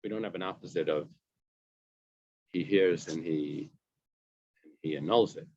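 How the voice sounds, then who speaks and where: Man, low voice, dry close sound, dull lo-fi audio, casual text study. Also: a little slow, a little mellow, no room sound. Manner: explains a text, reads Hebrew phrases and translates. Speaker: study session leader. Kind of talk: casual Talmud study chat.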